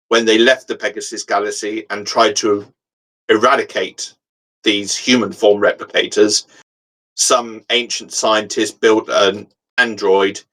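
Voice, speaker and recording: A man's voice: fairly low pitch, fairly bright tone, low-quality recording. A man co-hosting a casual geek chat, explaining things in a hesitant, thoughtful way. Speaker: show co-host.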